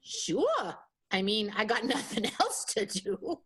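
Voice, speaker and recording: A woman playing a giggly, agreeable vaudeville friend in an improvised radio soap, easygoing and drawn-out in her replies. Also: fairly high pitch, big pitch swings, fairly bright tone, slightly rough, heavily acted. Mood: supportive.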